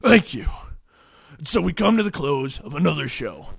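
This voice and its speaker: Hot-headed male political TV host acted by a man, high raspy voice, indignant and swinging wildly, audio comedy. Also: sing-song, moderate pace. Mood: announcing. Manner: indignant, theatrical, easily exasperated. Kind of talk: parody TV news interview.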